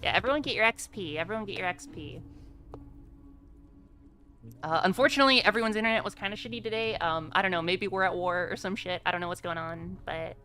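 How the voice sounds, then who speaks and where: A woman's voice: medium pitch, fast, pushed and bright, faint music behind. A woman speaking informally as game master of a tabletop roleplaying game, chatting and narrating casually.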